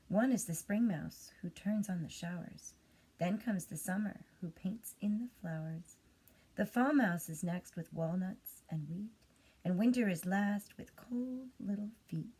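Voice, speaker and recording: Storybook field mouse poet, male, played by a woman: shy, dreamy, gentle, measured declaiming, slightly breathy. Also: fairly low pitch, rough recording.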